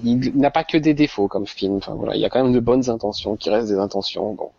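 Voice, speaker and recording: A man's voice: on the low side, quick pace, gravelly, a little mellow, dry recording. A man hosting a casual panel chat, teasing the guests and laughing often. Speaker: host. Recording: dull lo-fi sound.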